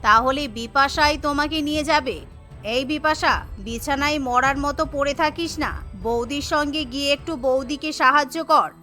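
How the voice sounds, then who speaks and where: Bossy middle-aged cartoon matriarch, female performer, scolding, high voice over music. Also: steady tone, moderate pace, bright, forceful tone. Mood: commanding, stern. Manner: commanding, scolding. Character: imperious middle-aged Bengali mother-in-law, bossy matriarch. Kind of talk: cartoon character dialogue.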